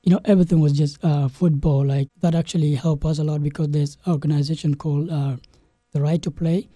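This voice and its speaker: A young man from South Sudan, a game developer guest in an interview, telling his story in a halting way with many restarts and fillers. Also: fairly high pitch, steady tone, mellow, no echo. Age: young adult.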